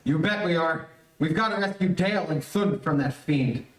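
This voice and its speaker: High-voiced plucky hero played by a man. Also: normal speed, close to an everyday voice. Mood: earnest, eager. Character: young heroic earthman, plucky and earnest adventurer. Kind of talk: 1930s radio serial acting. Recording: echoey room.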